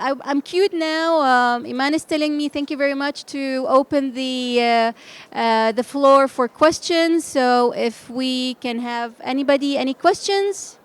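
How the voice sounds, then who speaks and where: A woman moderating a panel discussion, summing up and opening the floor to questions, with halting restarts. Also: fairly high pitch.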